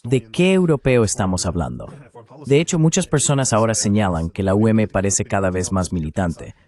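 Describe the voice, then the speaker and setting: A man's AI-generated dubbed voice as an interview host, giving analytical, measured commentary. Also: lowish voice, big pitch swings, a little mellow.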